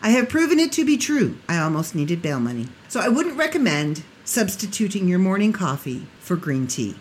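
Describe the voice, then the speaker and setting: A woman hosting a casual solo podcast, chatty and joking, her voice getting a little hoarse. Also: fairly low pitch, very animated, moderate pace, a little hiss or hum. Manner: chatty, jokey, laughs at own asides. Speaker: podcast host.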